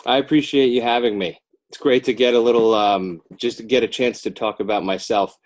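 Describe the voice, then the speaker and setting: An American man chatting casually as a podcast guest, often rambling, halting and correcting himself as he goes. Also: mid-range voice, steady tone. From Northern New Jersey, United States. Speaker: podcast guest, children's book author. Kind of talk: casual podcast interview chat.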